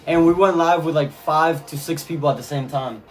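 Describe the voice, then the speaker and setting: A man's voice: a little high, normal speed. Cuban-born man chatting with his stream. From Cuba. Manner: reads chat aloud, answers viewers, repeats phrases. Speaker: livestreamer. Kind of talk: casual live stream chat.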